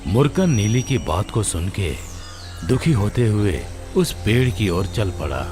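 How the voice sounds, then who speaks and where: A man's voice: lowish voice, very animated, measured pace, over music. A man narrating a scripted children's story.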